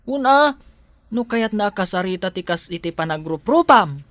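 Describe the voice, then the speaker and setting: A man's voice: high voice, expressive, normal speed, a bit bright, no echo. A man with an Ilocano accent playing a loyal, protective household helper in an audio drama, speaking deferentially to his employer. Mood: wary, irritated.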